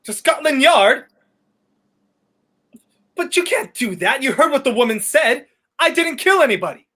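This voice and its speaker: A young man acting the earnest, determined hero of a 1930s-style radio play, a gentleman wrongly accused. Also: very high-pitched, expressive, unhurried, exaggerated voice. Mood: alarmed protest. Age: young adult.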